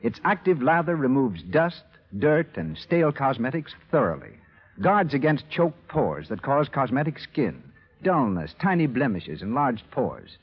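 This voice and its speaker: A muffled old radio recording features a male announcer with a husky voice. His delivery is formal and animated, in the scripted showman manner of a 1930s host. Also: mid-range voice, unhurried.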